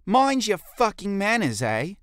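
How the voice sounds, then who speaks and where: A man's voice: high voice, huge pitch swings, a little slow, fairly bright tone, no room sound, clean studio sound, voice pushed up, full character voice. A man voicing a commanding, fierce female ape pack leader in an audiobook, curt and demanding. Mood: angry, scolding.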